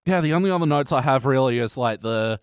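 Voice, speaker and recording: A man's voice: medium pitch, animated, fast, clean tone, dry recording, studio quality. A man co-hosting a podcast, giving opinions in a casual, wry and sweary way. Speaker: podcast co-host. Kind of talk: casual chat recapping a TV show.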